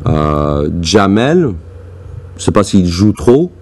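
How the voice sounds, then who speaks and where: Deep-voiced host, nudging with short agreements. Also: male voice, big pitch swings, slow, a little mellow, a little hiss or hum. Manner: encouraging, frequent short agreements. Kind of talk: casual interview.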